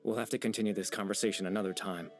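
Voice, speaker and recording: A man acting a gentle, melancholy low-voiced young man. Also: steady tone, racing, lightly acted. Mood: gentle, wistful. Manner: soft-spoken, polite, wistful, hesitant. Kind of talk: video game voice acting. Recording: background music under the voice.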